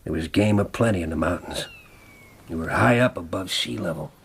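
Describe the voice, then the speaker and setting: A gravelly, haunted frontiersman, very low. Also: brisk, fairly bright tone, slight background noise. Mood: grave, tense. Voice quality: gravelly, husky. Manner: grave, weary, intense. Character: haunted, desperate male frontiersman recounting his lost love. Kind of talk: radio drama acting and narration.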